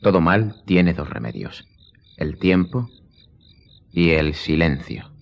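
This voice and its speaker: Grave, deep-voiced, enigmatic count. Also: male voice, very animated, gravelly, full character voice. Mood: solemn, composed. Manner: solemn, measured, sententious. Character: grave, commanding count, calm and enigmatic nobleman. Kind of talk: radio drama acting. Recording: dull lo-fi sound, faint music behind.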